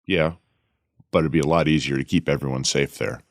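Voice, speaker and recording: An actor playing a protective, cautious friend in an audio drama, plain-spoken and grumbling. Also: male voice, rumbling low, fairly even intonation, a little fast, no room sound, studio quality. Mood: wary grumbling.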